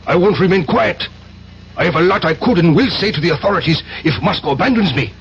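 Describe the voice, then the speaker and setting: An actor playing an indignant Soviet spy chief in a radio drama, speaking in a formal, agitated manner. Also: male voice, high-pitched, lively intonation, fast talker, a bit bright, slightly rough, heavily acted. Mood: defiant, indignant. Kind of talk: 1970s radio drama acting. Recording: dull, noisy old radio broadcast.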